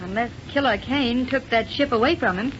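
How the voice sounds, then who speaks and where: A woman's voice: a little high, moderate pace, a bit bright, natural voice. Capable female rocket co-pilot played by a woman, muffled recording. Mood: alert, spirited. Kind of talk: 1930s radio acting. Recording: muffled, hissy 1930s radio recording.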